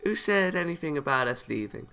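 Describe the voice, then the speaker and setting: A man's voice: fairly high pitch, lively intonation, fairly quick, creaky, pushed and bright, full character voice. A man voicing a cheerful, optimistic and bossy anime angel girl from a visual novel game. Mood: breezy, reassuring.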